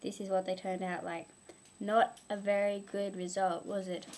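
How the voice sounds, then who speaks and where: A woman's voice: mid-range voice, flat delivery, moderate pace, a little mellow, a little hiss or hum. A young woman telling improvised, humorous moral stories informally, with a playful, mock-instructive manner. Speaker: amateur storyteller.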